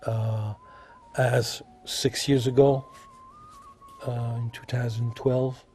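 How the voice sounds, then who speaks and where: A man from France with a French accent, answering interview questions as an artist, halting and repeating himself as he searches for words. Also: mid-range voice, level tone, a little mellow, slight background noise. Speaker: visual artist being interviewed.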